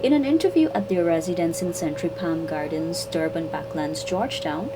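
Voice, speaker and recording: A woman reporter reading a scripted news story in a measured, formal way. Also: fairly low pitch, rough recording. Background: music bed.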